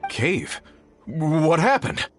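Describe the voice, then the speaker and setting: An actor playing an earnest, polite leader of a search party in a video game, with plain-spoken delivery. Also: male voice, a little high, very animated, very slow, soft background music, exaggerated voice. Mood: concerned.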